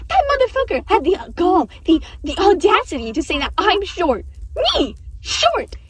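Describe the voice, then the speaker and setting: A woman voicing an indignant, foul-mouthed hothead in an audio roleplay, loud, emphatic and theatrically outraged. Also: very high-pitched, big pitch swings, moderate pace, dry recording. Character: indignant, foul-mouthed, hot-tempered friend ranting about an insult.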